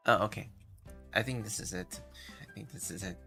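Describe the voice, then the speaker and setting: A man chatting casually on a gaming livestream in a halting, meandering way. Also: lowish voice, vocal fry, sharp tone. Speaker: live streamer. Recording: game music behind the voice. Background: video game music.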